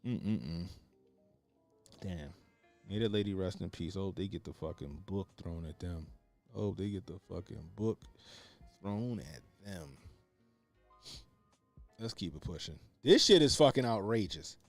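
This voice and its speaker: A man hosting a stream, reacting to clips in casual, crude commentary. He laughs a lot and swings between mumbled asides and loud outbursts. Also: sits low, animated, measured pace, long pauses, soft background music. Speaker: stream host.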